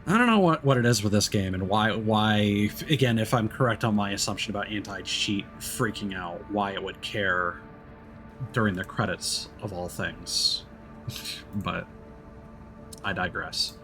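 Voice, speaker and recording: A man's voice: lowish voice, a little slow, over music. A man doing casual live game-stream commentary, thinking aloud in a rambling way.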